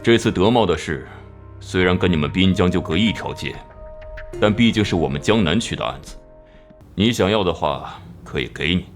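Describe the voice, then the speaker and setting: A man voicing a stern, shrewd older police bureau chief in an animated crime drama. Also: low voice, moderate pace. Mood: bargaining. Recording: faint music under the voice.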